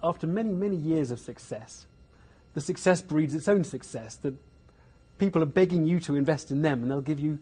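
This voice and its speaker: A middle-aged British man asks probing questions in a somewhat husky voice pitched a little high. He presses and challenges with quick follow-ups. He is recorded indoors. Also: warm tone. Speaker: interviewer. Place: indoor room.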